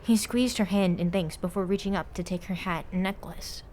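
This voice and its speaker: Lower-pitched woman narrating a story. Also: normal speed, a little mellow, slight background noise. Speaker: narrator of a fan fiction podfic. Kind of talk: scripted narration, audiobook-style reading of a story.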